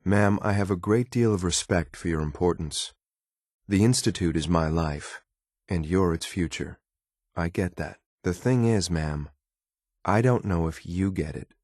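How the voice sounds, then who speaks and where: An actor playing a loyal, disapproving android soldier in a video game, speaking in a formal, clipped, deadpan and blunt way. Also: male voice, sits low, unhurried, no echo, clean studio sound. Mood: stern, reproachful. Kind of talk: video game companion voice lines.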